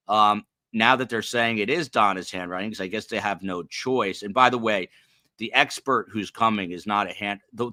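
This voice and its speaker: A man's voice: deep voice, pushed and bright, no room sound. A man in his fifties hosting a podcast in casual talk, rambling with restarts and asking pointed questions, sounding tired. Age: 50s. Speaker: podcast host. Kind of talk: casual live-stream talk.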